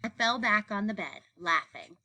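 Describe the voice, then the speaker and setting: Bright, animated woman reading a story. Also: mid-range voice, normal speed. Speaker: story reader. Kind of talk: expressive scripted picture-book narration.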